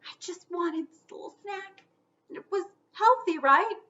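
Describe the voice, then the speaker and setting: Woman acting a tiny childlike ghost, high voice, hopeful, sheepish, storybook character, lo-fi sound. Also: animated, a little slow, bright, forceful tone, heavily acted. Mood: sheepish pleading. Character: small eager young ghost, sheepishly pleading.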